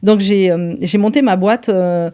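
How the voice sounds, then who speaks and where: A middle-aged woman chatting casually on a podcast, breaking up her sentences with frequent hesitant pauses. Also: medium pitch, mellow. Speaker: podcast co-presenter, business manager. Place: indoors at her home.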